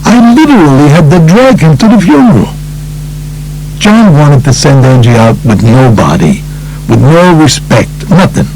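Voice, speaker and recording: A man's voice: a little high, big pitch swings, moderate pace, a little mellow, soft background music, rough recording. A man voicing a blunt, streetwise New York mobster who tells his story in profane, emphatic, short phrases for an audiobook. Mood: indignant, blunt.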